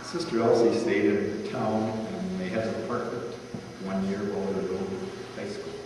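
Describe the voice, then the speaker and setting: A man's voice: on the low side, fairly even intonation, normal speed. A man informally telling family anecdotes, with folksy conversational asides to his listeners. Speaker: family member co-reading a tribute. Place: large echoey room at a party gathering. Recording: echoey hall from far off, background noise.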